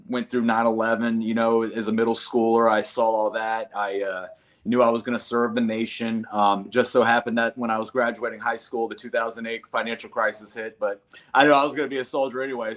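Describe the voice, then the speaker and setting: A man's voice: mid-range voice, little pitch movement, a little fast, fairly bright tone. A man in his thirties from North Carolina, a combat veteran talking casually and earnestly as an interview guest. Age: 30s. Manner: earnest, folksy, calls listeners man and brother.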